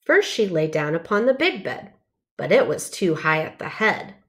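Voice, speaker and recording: A woman's voice: on the low side, big pitch swings, moderate pace. A woman narrating a children's storybook, reading aloud carefully and expressively.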